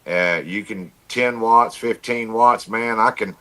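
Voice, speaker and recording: A man's voice: lowish voice, takes it slowly, fairly bright tone. A plainspoken man with a folksy manner, telling stories casually in a livestream chat and repeating himself as he goes. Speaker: amateur radio operator, livestream guest.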